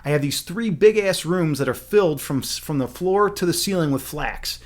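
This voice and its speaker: A man voicing a brash, excitable, foul-mouthed fairy-tale queen in a comic retelling, blunt and full of slang. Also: a little high, moderate pace, natural voice. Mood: brisk, dealing.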